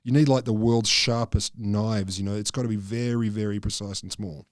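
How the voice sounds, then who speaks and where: A middle-aged man co-hosting a casual science podcast, explaining from notes in a halting, dryly joking, sweary way into a close microphone. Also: fairly low pitch, fairly even intonation, no echo. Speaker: podcast co-host. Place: small book-lined room, indoors. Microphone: close podcast microphone, headphones.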